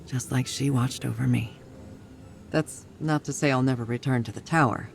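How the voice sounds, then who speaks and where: A woman's voice: extremely low pitch, steady tone, moderate pace, creaky. An actress playing a battle-weary, resilient woman soldier in a video game, speaking plainly and reflectively with a wry edge. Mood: relieved, resolute. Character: battle-weary, resilient female soldier, tough but tender. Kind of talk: dramatic video game cutscene acting. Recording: music or faint ambient noise behind.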